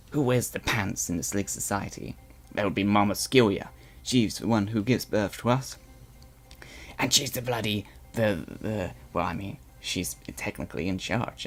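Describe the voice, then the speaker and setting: A man voicing a boastful male Slig guard turned propaganda newscaster, with a weird put-on accent and a rambling, stammering way of talking. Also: fairly low pitch. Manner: blustery, rambling with stammered restarts. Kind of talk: in-character live stream chat roleplay.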